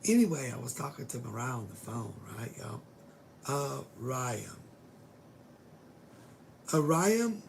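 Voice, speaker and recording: A middle-aged woman speaks with a hoarse, gravelly voice that sometimes fades to a whisper. She rambles casually and swears now and then as she talks to live viewers from her bedroom. A faint noise hums behind her. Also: extremely low pitch, very animated, slow, pauses often, a little mellow. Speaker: vlogger greeting live viewers. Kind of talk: rambling casual livestream chat.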